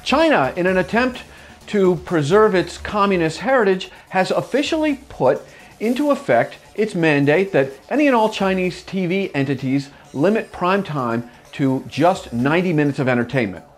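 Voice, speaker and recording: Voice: medium pitch, lively intonation, moderate pace. News presenter over background music. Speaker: news anchor. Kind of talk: scripted news presenting, wry.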